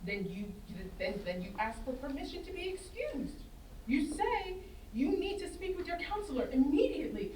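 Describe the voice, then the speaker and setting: A woman performs an angry, hurt mother in a stage drama. Her voice is high and very lively, insistent as she repeats herself. She is heard from a distance in a roomy space with faint noise. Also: moderate pace. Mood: stern, pleading. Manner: stern, insistent, repeating words for emphasis. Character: angry, wounded mother confronting her teenage son. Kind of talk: staged play reading, naturalistic dramatic acting. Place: indoor performance space before an audience.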